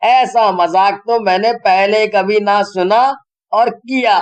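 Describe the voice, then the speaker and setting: A man voicing a cheeky, party-loving young man with a drawn-out cartoon delivery. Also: sits high, fairly even intonation.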